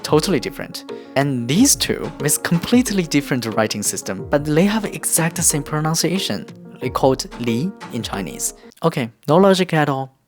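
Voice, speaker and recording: Lively young man teaching. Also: fairly high pitch, moderate pace, soft background music. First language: Korean. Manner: lively, explains step by step. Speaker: language teacher. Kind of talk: explanatory video lesson.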